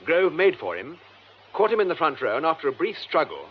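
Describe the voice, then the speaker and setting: An actor playing a composed, methodical English police superintendent in a radio drama, with measured, formal, precise delivery. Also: male voice, fairly high pitch, huge pitch swings. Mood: grave, narrating. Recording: muffled lo-fi radio drama, faint noise.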